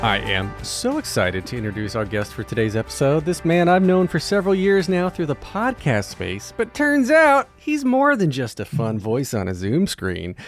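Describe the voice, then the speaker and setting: Lively male podcast host, close and dry. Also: fairly high pitch, no room sound. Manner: playful, teasing. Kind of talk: podcast introduction and casual interview chat.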